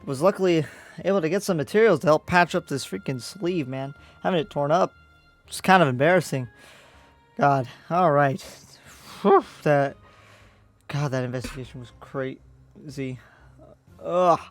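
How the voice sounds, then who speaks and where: A man's voice: fairly high pitch, moderate pace, long pauses, soft background music, close to an everyday voice. A man playing an easygoing young demon slayer in an improvised anime game roleplay, talking casually and hesitantly. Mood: casual, muttering.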